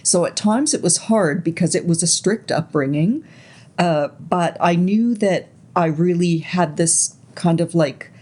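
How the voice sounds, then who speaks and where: A woman in her sixties, raised in Canada, recalling her life story slowly and reflectively as an interview guest, often pausing to search for words. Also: lowish voice. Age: 60s. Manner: soft, unhurried, searching for words.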